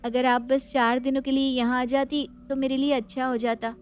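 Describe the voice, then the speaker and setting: A woman's voice: high voice, flat delivery, normal speed, soft background music, close to an everyday voice. A woman voicing a polite, considerate young daughter-in-law in a family story. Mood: pleading. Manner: polite, deferential.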